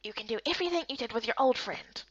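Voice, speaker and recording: A woman voicing a gentle, kindly young man in a fantasy game story, soft-spoken and halting. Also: fairly high pitch, lively intonation, husky, dull audio. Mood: reassuring.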